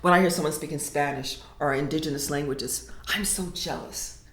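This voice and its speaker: A woman poet reading her verse slowly and dramatically, sinking to whispers at times, then talking casually about her writing. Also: low-pitched. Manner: slow, dramatic reading with hushed whispered lines. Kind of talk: poetry reading and casual interview. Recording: echoey room.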